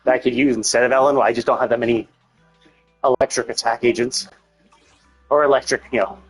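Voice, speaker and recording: A man giving casual, unscripted gameplay commentary on a live stream, rambling and thinking out loud in a husky voice. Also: mid-range voice, fairly even intonation, brisk, faint music behind, poor audio.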